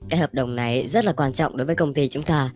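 A man acts out a young company boss who sneers and talks down to everyone. The delivery is theatrical cartoon comedy, mocking and self-satisfied. Soft music plays behind. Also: mid-range voice, quick pace, lightly acted. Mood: businesslike. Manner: condescending, theatrical. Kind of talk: amateur animated comedy skit dub. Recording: background music under the voice.

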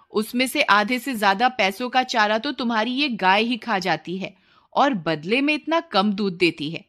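A woman's voice: mid-range voice, a bit bright, close to an everyday voice. A woman voicing a greedy, complaining village wife in a children's cartoon story, with an insistent, taunting manner. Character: nagging, greedy village wife, dissatisfied and scheming.

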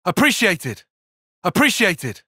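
Bright, intense young tactician in battle. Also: male voice, sits high, wildly swooping pitch, slow, dry recording, studio quality, full character voice. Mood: composed, focused. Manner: clipped, commanding short call-outs. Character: brainy young male tactician fighter, composed but intense. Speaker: video game voice actor. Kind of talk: video game battle barks.

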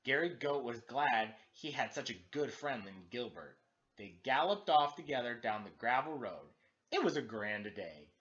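A man in his thirties reading a children's storybook aloud as a teacher, with exaggerated, expressive emphasis, recorded indoors at home. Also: medium pitch, normal speed, highs cut off. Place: living room, indoors.

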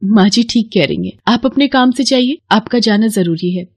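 A timid young daughter-in-law in a cartoon moral tale, voiced by a woman. She speaks politely and plaintively in a raised pitch, sweet and long-suffering, recorded dry. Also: normal speed, slightly rough, no echo. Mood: meek, polite. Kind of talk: animated moral story dialogue.

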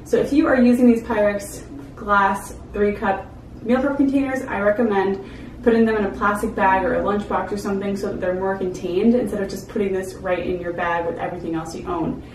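A young adult woman giving a casual, chatty product review to camera in an informal way. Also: medium pitch. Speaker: food vlogger reviewing products. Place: small indoor room, seated at a table. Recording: roomy, distant mic with clear background noise.

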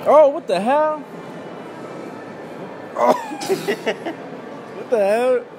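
A young man caught in a street prank jokes and curses. His voice goes very high and jumps around as he drags his words out slowly. A busy crowd and street noise fill the background. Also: huge pitch swings, a little mellow. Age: young adult. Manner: joking, swears, laughs. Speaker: passerby. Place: outside a mall storefront.

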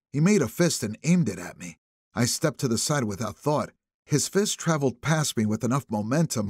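A male audiobook narrator with a husky voice and very lively pitch. He reads first-person thriller prose dramatically, building suspense, in a dry close sound. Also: dry recording. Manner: expressive, suspenseful phrasing.

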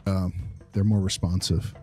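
A man's voice: low-pitched, little pitch movement, measured pace, a little mellow, faint music behind. A man talking through his opinions in a casual podcast discussion, repeating phrases for emphasis. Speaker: podcast co-host, behavior analyst.

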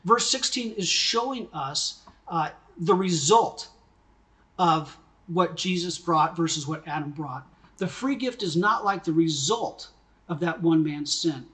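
A middle-aged man delivers a sermon from his home study, speaking into a desk webcam. He teaches in a conversational way and poses questions to his listeners. His voice sits a little higher than most men's. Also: a bit bright. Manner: conversational teaching, asks questions, gestures with hands. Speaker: pastor. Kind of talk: sermon recorded at home for video.

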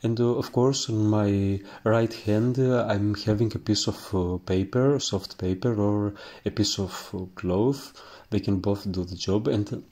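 A man from Greece with a thick Greek accent, a painting teacher talking through his work in a halting way and often searching for words. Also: on the low side, fairly even intonation, dark tone. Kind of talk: instructional voice-over while painting.

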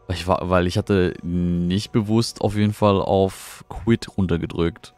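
A man's voice: sits low, fairly even intonation, a little slow, a little mellow. A middle-aged man chatting casually while streaming a game from home, rambling and answering his chat, often puzzled. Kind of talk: casual live gameplay commentary. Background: faint game music.

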